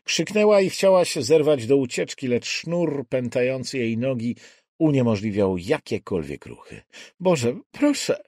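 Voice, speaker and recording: A man's voice: medium pitch, animated, normal speed, darkish tone, dry recording. A man reading a thriller audiobook as dramatic scripted narration on a close studio microphone.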